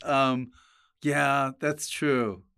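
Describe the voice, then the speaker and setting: Clear-voiced man hosting, wry. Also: medium pitch, steady tone, extremely slow, sharp tone. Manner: wry, joking, interjecting. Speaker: podcast host. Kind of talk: casual chat.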